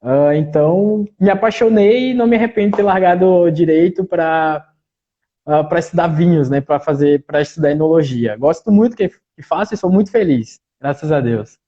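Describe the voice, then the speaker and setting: A man with a mix of Bahian and southern Gaúcho accents, talking as a winemaker guest in a relaxed live interview, often tagging "né". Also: fairly high pitch, normal speed, dull audio. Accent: mixed Bahian and Gaúcho Brazilian Portuguese. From Salvador, Bahia, Brazil. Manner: relaxed, talkative, frequent "né" tags.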